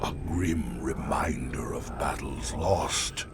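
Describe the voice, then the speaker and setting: A gravelly brooding alien warrior. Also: male voice, extremely low pitch, noisy background, exaggerated voice. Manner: measured, grave narration. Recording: orchestral music behind.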